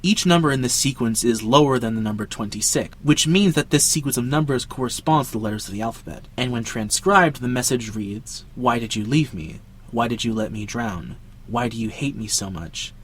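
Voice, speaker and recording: A man's voice: medium pitch, a little fast, husky. A young man hosting a video essay with casual scripted narration and dramatic emphasis. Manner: dramatic emphasis with jokey asides. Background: background music.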